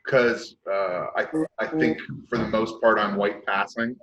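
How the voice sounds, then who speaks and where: Low-ish voice guy, late 30s, thinking out loud with lots of uhs, muffled lo-fi sound. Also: steady tone, a little slow. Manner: reflective, lots of uh fillers, frank. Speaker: podcast guest. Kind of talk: casual chat. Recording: dull lo-fi mic.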